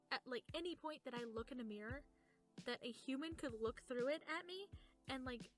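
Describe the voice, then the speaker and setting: High-voiced woman podcaster chatting casually. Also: fairly bright tone. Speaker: podcast co-host. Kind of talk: casual in-character chat, telling personal stories.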